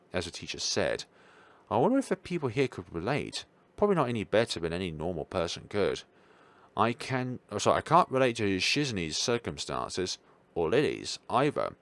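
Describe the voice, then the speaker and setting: A man doing an expressive read-aloud of a visual novel, sometimes stumbling over words and rereading them. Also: on the low side. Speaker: video game player reading a visual novel aloud. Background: background game music.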